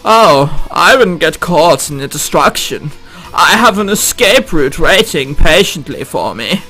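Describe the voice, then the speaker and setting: A man voicing a greedy, gloating evil villain for a visual novel in a put-on German-Dutch accent, taunting in a drawn-out, theatrical way. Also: a little high, sing-song, bright, forceful tone, soft background music, full character voice, low-quality recording. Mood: menacing. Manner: taunting, drawn-out, ominous laughing.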